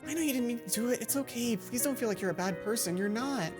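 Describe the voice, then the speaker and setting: A woman voicing a loyal teenage schoolgirl who speaks gently and earnestly, as a comforting best friend in a visual novel. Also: fairly high pitch, fast, over music, pitched above the performer's own voice, natural voice. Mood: reassuring.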